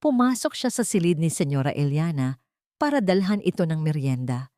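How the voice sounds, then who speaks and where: A woman narrating a dramatic scripted audio story in deliberate, suspenseful phrases. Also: low-pitched, very animated, warm tone, dry recording, clean studio sound.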